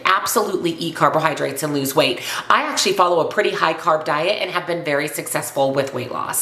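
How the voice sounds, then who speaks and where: A woman with a congested, slightly raspy voice from a cold, speaking earnestly and emphatically as a coach addressing viewers in an instructional vlog. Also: fairly low pitch, a bit bright, roomy sound. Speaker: weight loss and nutrition coach presenting to viewers. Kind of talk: upbeat instructional vlog to camera.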